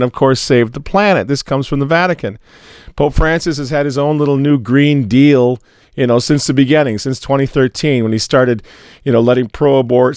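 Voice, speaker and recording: Hoarse, sarcastic man commentating. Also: medium pitch, dry recording, studio quality. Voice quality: raspy. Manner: sarcastic, mocking asides. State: hoarse from a cold. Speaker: newspaper editor hosting commentary. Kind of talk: casual political and religious commentary.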